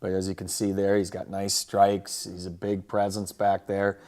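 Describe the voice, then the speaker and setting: Low, even-voiced American male coach. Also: normal speed. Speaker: football head coach. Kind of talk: casual sports recap and highlight commentary.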